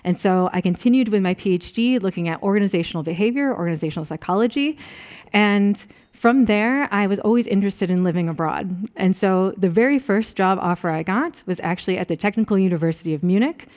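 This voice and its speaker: A woman's voice: mid-range voice, fast talker, a little mellow. A woman with an American accent, a university professor, giving an introductory lecture in a friendly, conversational way and asking the audience questions. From Rochester, New York, USA.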